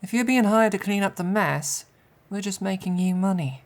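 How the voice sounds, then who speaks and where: A woman's voice: medium pitch, normal speed, natural voice. A woman playing a defiant, crude and cocky female bandit in a fantasy audio roleplay, sneering and taunting as she speaks.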